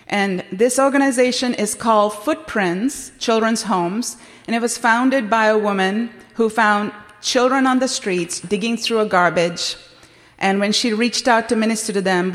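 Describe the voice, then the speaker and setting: A woman's voice: medium pitch, long reverb tail. A woman from Sri Lanka with a Sri Lankan accent, giving an earnest appeal for a ministry at a church event, with a few hesitations.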